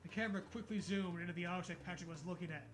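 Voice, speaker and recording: Male co-host, high voice, casual comedic read-along with sweary asides, light music behind. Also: racing, a bit bright. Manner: sweary, mocking. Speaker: co-host reading a story aloud. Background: background music.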